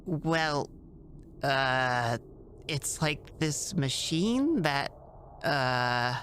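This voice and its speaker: Woman playing a low-voiced, animated naive boy. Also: very slow, a little hiss or hum, full character voice. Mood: confused, earnest. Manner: hesitant, casual, earnest. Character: polite, naive, slightly confused young boy hero. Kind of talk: fandub character acting.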